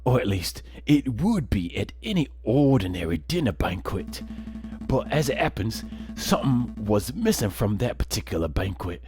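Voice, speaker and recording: A man plays a crafty game defense bird with a lowered voice. He argues with measured, dramatic confidence over background music. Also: big pitch swings, darkish tone, slightly rough, exaggerated voice. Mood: assured.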